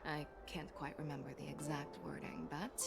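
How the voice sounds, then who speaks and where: A woman's voice: low-pitched, steady tone, moderate pace, over music. A woman voicing a composed, courteous female envoy in a video game. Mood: solemn.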